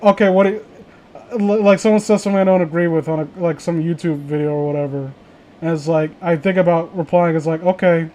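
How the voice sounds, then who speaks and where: Higher-pitched man in rambling casual chat. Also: mellow, slight background noise. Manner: profane, blunt, opinionated. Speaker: host of a casual group chat.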